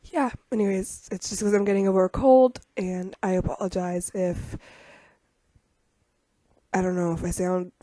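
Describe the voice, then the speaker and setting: A young woman with a rough voice from getting over a cold, chatting casually over a game video with lots of ums and self-deprecating asides. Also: mid-range voice, flat delivery, normal speed, long pauses, dark tone, dry recording. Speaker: YouTuber narrating a game speed build.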